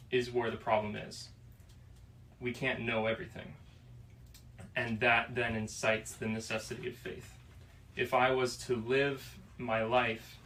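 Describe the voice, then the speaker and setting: A man in his twenties from Florida, musing slowly on faith and choice in a casual discussion. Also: mid-range voice, a bit bright. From Florida, USA. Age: 20s. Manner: slow, reflective, pauses mid-thought. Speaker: podcast guest. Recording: distant mic, faint room noise.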